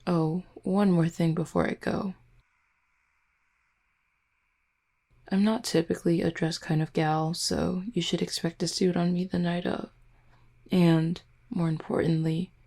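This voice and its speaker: A woman voicing a flirty, composed female werewolf second-in-command in an audio roleplay, teasing and measured, with a low, husky voice. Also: little pitch movement, warm tone. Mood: playful, teasing. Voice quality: low, husky, breathy with some creak. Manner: teasing, measured, deliberate wording. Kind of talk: roleplay audio drama, intimate one-sided dialogue.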